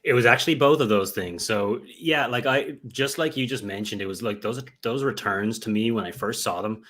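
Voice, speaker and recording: A young man with an Irish accent talks through his answers in a lowish voice. He rambles and circles back, filling gaps with "you know" and "um" as he restarts his sentences. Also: normal speed, fairly bright tone. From Ireland. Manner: rambling, many fillers and restarts. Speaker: guest, Lego investor. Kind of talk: remote interview answers.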